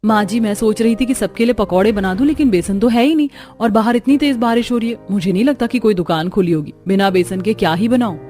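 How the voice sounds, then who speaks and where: A dutiful young daughter-in-law from a cartoon family story, acted out by a woman. She sounds harried and eager to oblige, at a middle pitch. Faint music plays behind her. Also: fast, hardly any pauses, dark tone, close to an everyday voice. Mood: worried. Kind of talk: Hindi cartoon story dialogue.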